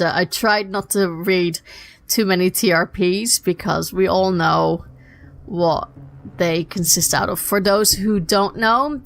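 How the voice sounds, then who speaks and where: A young woman chats her way through a casual solo podcast. Her voice is slightly husky from a lingering illness, and she rambles along with lots of ums and quick self-corrections. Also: on the low side. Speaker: podcast host.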